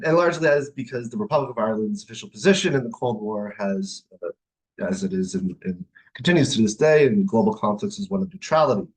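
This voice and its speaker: A man giving a semi-scripted academic talk with hesitant, halting phrasing. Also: mid-range voice, darkish tone, breathy edge, rough recording. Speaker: academic presenter, literature professor.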